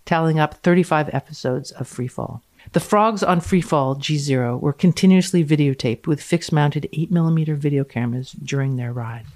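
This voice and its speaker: A woman reading a scientific paper aloud in a measured, precise way with dry amusement, as a podcast guest. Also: low-pitched, a little mellow.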